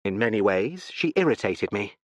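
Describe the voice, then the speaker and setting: A male audiobook reader voicing a cold, measured older judge with an imperturbable, dry manner. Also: fairly low pitch, big pitch swings, no room sound, voice pushed down. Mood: cutting.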